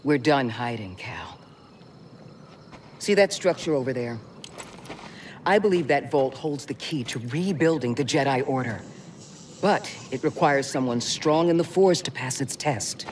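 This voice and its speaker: An actress playing a guarded, middle-aged former Jedi mentor in a game, speaking in a measured, earnest way. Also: female voice, extremely low pitch, animated, a little mellow, a little hiss or hum. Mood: grave, resolute. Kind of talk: game cutscene acting.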